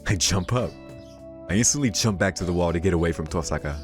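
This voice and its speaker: A man with a low voice reads a visual novel aloud as a let's play host. His delivery is measured, like storytelling, and game music plays behind him. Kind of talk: reading visual novel narration aloud with brief comments.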